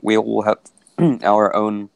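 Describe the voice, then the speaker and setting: A man's voice: on the low side, flat delivery, slow pace, vocal fry, no echo. A man whose first language is Afrikaans, chatting on a video call, hesitant with many restarts. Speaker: guest. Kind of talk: casual video call chat.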